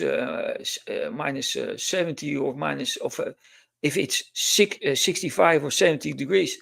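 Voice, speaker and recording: A man with a Dutch accent, co-hosting a casual livestream chat and talking haltingly with many fillers and restarts. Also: a little high, vocal fry, fairly bright tone. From Netherlands. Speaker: co-host panelist.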